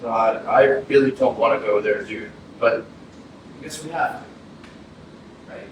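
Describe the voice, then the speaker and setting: A man's voice: mid-range voice, takes it slowly, close to an everyday voice. A man acting in a staged reading as a grieving young man, telling his story in a reflective dramatic monologue. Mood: reluctant, haunted. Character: grieving young man recounting a friend's death. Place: arts center stage, distant mic. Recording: very echoey hall, distant, clear noise.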